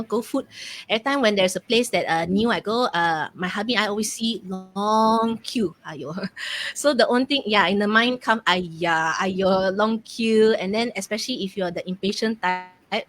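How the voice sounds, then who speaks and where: A woman with a Malaysian accent casually hosting a live online game, chatty and halting as she goes. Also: medium pitch, fairly bright tone. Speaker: host of a live question game, online mentor.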